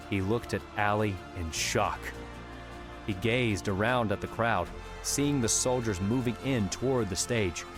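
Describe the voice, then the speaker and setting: Low-voiced male audiobook narrator. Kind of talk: scripted narration. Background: music.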